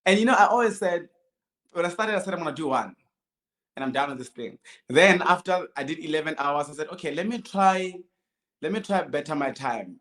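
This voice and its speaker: A man's voice: fairly high pitch, lively intonation, brisk, fairly bright tone. A South African man in his thirties telling his own story casually as a guest, in short sentences with quoted dialogue. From Limpopo, South Africa. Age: 30s. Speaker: podcast guest, amateur runner.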